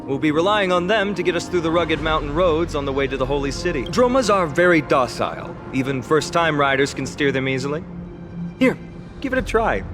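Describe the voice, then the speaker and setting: A man's voice: fairly high pitch, animated, lightly acted. Man playing a courteous, earnest young warrior guide. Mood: friendly, instructive. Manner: formal, courteous, measured explaining. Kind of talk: scripted video game dialogue. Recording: orchestral game music behind the voice.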